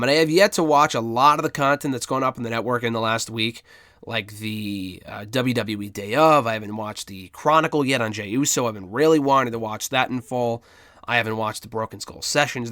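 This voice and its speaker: A man's voice: medium pitch, fairly bright tone, no echo, studio quality. A congested man with a cold, giving a blunt, opinionated review with frequent ums and the odd swear word. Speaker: wrestling review podcaster. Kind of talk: casual solo review commentary.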